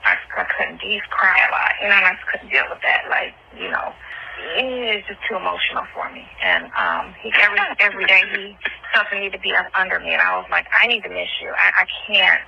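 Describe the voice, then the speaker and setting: A woman's voice: medium pitch, lively intonation, fairly quick, gravelly, bright, forceful tone, a little hiss or hum. A woman chatting casually and bluntly in slangy, candid talk on a recorded phone call. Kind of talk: casual intimate phone chat.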